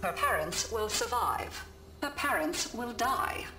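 A woman voicing a calm computerised lesson narrator in a science fiction game, measured and instructive. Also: fairly high pitch, roomy sound, over music. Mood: measured, formal. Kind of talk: scripted educational narration.

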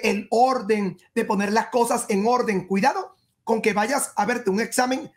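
A woman giving a tarot reading straight to the listener, emphatic, repeating and rephrasing in short phrases. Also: a little high. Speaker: tarot reader and horoscope host. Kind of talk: spoken tarot reading addressed to the viewer.

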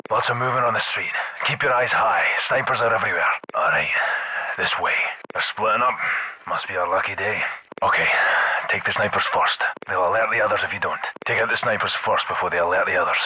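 A gruff, commanding British special forces soldier from a military shooter game. He gives terse tactical orders in a clipped way. There is clear noise behind the voice. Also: lowish voice, brisk, sharp tone, poor audio. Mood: hushed, tactical. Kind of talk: video game combat barks.

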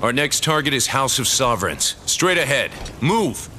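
An actor playing a brisk, matter-of-fact squad soldier in a game cutscene. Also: male voice, medium pitch, very animated, sharp tone, a little hiss or hum. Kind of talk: video game cutscene acting.

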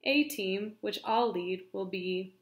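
A woman's voice: medium pitch, slow pace, close to an everyday voice. A woman reading the lines of a terse, firm adult male expedition leader from a novel. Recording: roomy echo.

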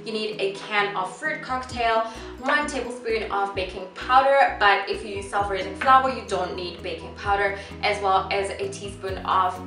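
A chatty young woman in her twenties talks through an easy recipe in her home kitchen. She sounds upbeat and relaxed, laughing easily. The camera stands a few steps away, so her voice comes with a roomy echo and music plays underneath. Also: medium pitch, moderate pace, far from the mic. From South Africa. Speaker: cooking vlogger. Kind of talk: casual cooking tutorial. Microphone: camera on tripod at a distance.

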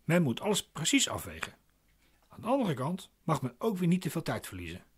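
A man's voice: fairly high pitch, wildly swooping pitch, a little fast, husky, full character voice. An actor playing a glib, pedantic man explaining legal procedure in a radio play, insistent and didactic. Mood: persuasive.

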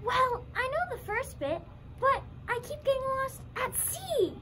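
Girl acting a high-pitched, earnest young pirate. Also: very animated, measured pace, sharp tone, slight background noise, full character voice, poor audio. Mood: frustrated, earnest. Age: young teenager. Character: earnest, struggling young pirate learning the alphabet. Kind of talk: school puppet show skit.

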